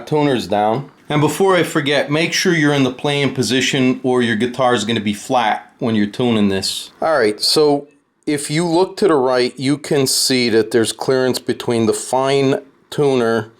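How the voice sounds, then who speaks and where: A man hosts a casual hands-on tutorial in an unscripted, blunt way. His pace is very unhurried and his pitch sits in a middle range for a man. He explains things step by step. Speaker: host of a guitar gear tutorial and review.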